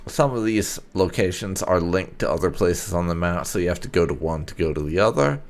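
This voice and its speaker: A man's voice: sits low, expressive, a little fast. A man giving casual, conversational gameplay commentary as a video host, with some light roleplay.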